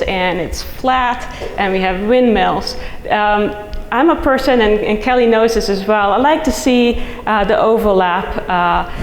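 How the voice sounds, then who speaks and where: A middle-aged woman from the Netherlands with a Dutch accent, giving an enthusiastic, chatty conference talk as a soil scientist, full of fillers and restarts. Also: medium pitch. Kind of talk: conference presentation. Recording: echoey hall recording, faint noise.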